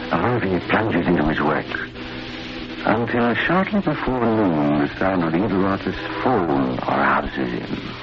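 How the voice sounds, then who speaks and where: Very deep vintage radio mystery storyteller. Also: male voice, sing-song. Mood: wry, ominous. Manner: measured, theatrical, wry. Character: ominously genial male storyteller of murder tales. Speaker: radio drama narrator. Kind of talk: 1940s-50s radio mystery narration. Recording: dull vintage radio recording, music behind. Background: music.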